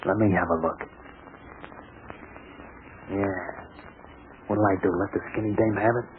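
A man's voice: on the low side, steady tone, a little slow, lightly acted. An actor playing a tough, grumbling American gangster henchman in a radio serial, blunt and full of slang. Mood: impatient. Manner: slangy, blunt, wise-guy delivery. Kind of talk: old-time radio serial acting. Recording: tinny, noisy old radio recording.

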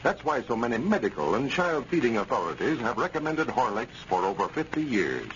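A male announcer reads a sponsor message in 1930s radio style. His pitch moves in wide, animated swings. The recording is muffled with faint scratchy noise. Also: fairly quick.

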